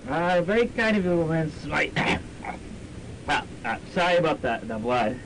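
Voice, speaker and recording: A man's voice: very animated, measured pace, a bit bright, heavily acted, low-quality recording. A man playing a rambling, wounded professor who stammers and mumbles understated asides in a campy college radio drama. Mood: feeble, unbothered. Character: wounded, rambling male professor, cheerfully understated about gore. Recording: amateur 1990s student radio, faint rumbling noise.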